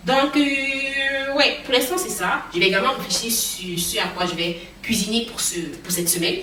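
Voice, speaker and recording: A woman vlogger, hoarse from a cold and tired after work, chatting casually to camera about cooking and groceries, with many fillers. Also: fairly high pitch, normal speed, bright, forceful tone, a little hiss or hum. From now living in Canada. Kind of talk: casual vlog chat. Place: home kitchen.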